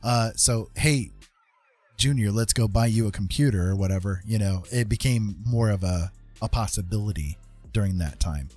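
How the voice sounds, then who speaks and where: An American man hosting a livestream, chatting casually and explaining things in an informal, halting, rambling way. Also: on the low side, a little mellow, soft background music. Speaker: livestream host chatting with viewers.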